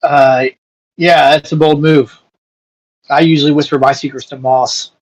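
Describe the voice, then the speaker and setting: A man's voice: on the high side, flat delivery, measured pace, highs cut off. A man playing a tabletop role-playing game, improvising casually in character with brief, matter-of-fact remarks.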